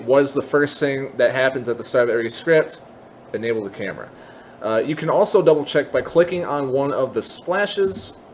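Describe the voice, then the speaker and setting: Man explaining software, lively pitch. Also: slight background noise. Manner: explanatory, thinking aloud, openly unsure at times. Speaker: tutorial presenter. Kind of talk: unscripted software tutorial walkthrough.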